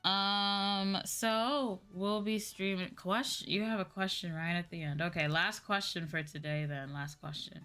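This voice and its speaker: A woman's voice: medium pitch, steady tone, a little slow, few pauses, sharp tone, clear, resonant tone. A woman livestreamer chatting casually with her viewers, with music playing behind her. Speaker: livestreamer, VTuber host.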